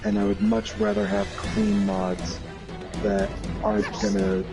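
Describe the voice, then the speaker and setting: A man's voice: high voice, very animated, unhurried, gravelly. A man giving his opinions in a casual gaming discussion, explaining emphatically point by point. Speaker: gaming show panelist. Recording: music behind the voice.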